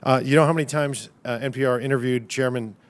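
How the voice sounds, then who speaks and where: A man's voice: mid-range voice, fairly even intonation, quick pace. Combative congressman grilling a witness. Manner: pointed, combative, piles up figures. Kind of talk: questioning a witness at a hearing. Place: hearing room.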